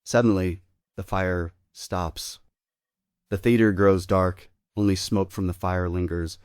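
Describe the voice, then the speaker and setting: A man serves as narrator, reading a play's stage directions from a script. His voice is deep, and the recording is dry with very little room sound. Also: steady tone, slow, a little mellow, smooth, no room sound.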